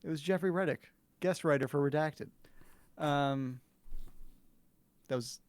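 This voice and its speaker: A man's voice: on the high side, measured pace, smooth, no room sound. A man chatting casually on a live stream with dry, understated joking.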